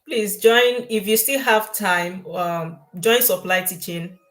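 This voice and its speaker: A woman's voice: medium pitch, slow, a bit bright. A Nigerian woman, a science teacher, giving emphatic, reassuring advice in a casual panel chat.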